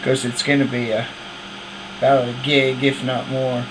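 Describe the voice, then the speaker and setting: A man's voice: mid-range voice, level tone, normal speed. A man bantering casually and teasingly as he records CB radio chatter. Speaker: CB radio hobbyist recording a video. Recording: very noisy CB radio room recording.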